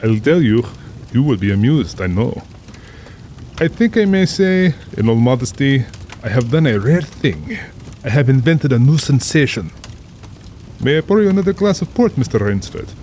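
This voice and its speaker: A man's voice: mid-range voice, very animated, normal speed, mellow, slight background noise, lightly acted. A man playing a cultured, proud general and big-game hunter in an audio drama, speaking formally and boastfully with polished self-assurance. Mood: eager, secretive. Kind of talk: full-cast audio drama acting.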